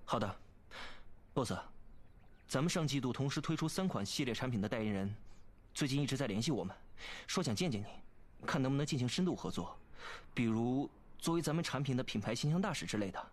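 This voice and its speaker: Man acting polite, efficient male assistant, mid-pitched. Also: expressive, brisk, lightly acted. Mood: businesslike. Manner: deferential, businesslike. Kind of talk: audio drama acting.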